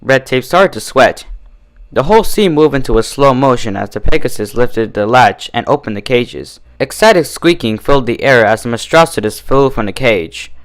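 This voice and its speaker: A man with an expressive delivery, reading and reviewing stories in a casual, storytelling style. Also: medium pitch, normal speed, no echo. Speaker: fan fiction reader and reviewer.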